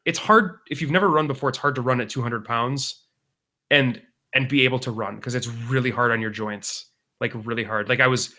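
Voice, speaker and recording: A man's voice: medium pitch, sharp tone. A man in his thirties co-hosting a podcast, talking casually and rambling with many restarts. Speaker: podcast co-host. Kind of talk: casual chat.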